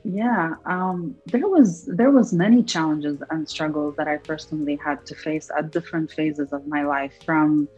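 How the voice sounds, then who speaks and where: A woman's voice: sits low, normal speed, hardly any pauses, darkish tone, dull audio, faint music behind. A young woman from Lebanon, an entrepreneur answering interview questions in an earnest, reflective way, often repeating words as she searches for them. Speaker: entrepreneur guest.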